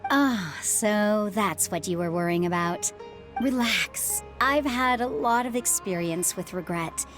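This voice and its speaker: A woman playing a calm, reassuring, philosophical middle-aged mother and former adventurer in a video game. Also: mid-range voice, measured pace, music in the background, natural voice.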